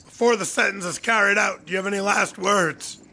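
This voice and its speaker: A man playing a brisk, commanding Old West sheriff in a radio drama, terse and gravelly. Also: sits high, fairly even intonation, pushed and bright, exaggerated voice. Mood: formal, commanding. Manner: terse, authoritative. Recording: noisy outdoor scene with birds.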